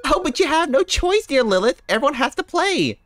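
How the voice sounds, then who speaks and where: Woman putting on a high-pitched, taunting goddess. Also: very animated, fairly quick, dry recording, faint music behind. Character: taunting, playful goddess running a death game.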